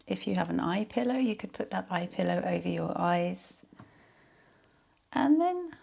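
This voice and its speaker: A woman leading a guided yoga nidra relaxation in a soothing, unhurried, soft-spoken way. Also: lowish voice, big pitch swings, gravelly, husky.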